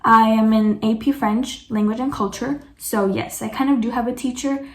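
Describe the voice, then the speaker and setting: Woman, vlogger, casual chat, higher voice, lots of "like", echoey room. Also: steady tone, normal speed. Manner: chatty, full of "like" and self-corrections. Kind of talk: casual chat answering viewer questions.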